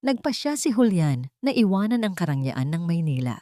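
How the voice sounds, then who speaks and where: A woman narrates a dramatic story in a fairly low voice. The sound is dry and close, with very little room on it. She reads from a script as an audiobook narrator. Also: very animated, moderate pace, dark tone, no echo.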